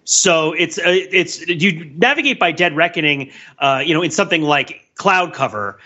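A man's voice: fairly high pitch, animated, normal speed, sharp tone, muffled recording. A man hosting a casual film discussion podcast, explaining things and often checking in with rhetorical questions. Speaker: podcast host.

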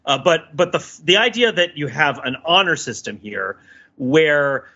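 A man co-hosting a podcast in casual, analytical discussion, thinking aloud haltingly and often checking in with "right?". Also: fairly high pitch, animated, sharp tone, muffled recording. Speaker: podcast co-host.

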